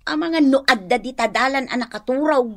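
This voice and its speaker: High-voiced woman acting a motherly village storekeeper. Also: moderate pace, a bit bright. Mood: wary. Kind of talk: radio drama acting in Ilocano.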